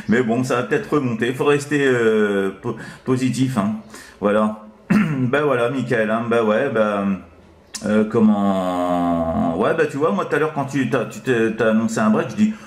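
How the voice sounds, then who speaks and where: A man's voice: medium pitch, expressive, a little slow. A man having a casual CB radio chat as an operator, rambling and hesitant, repeating little filler tags. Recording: echoey room.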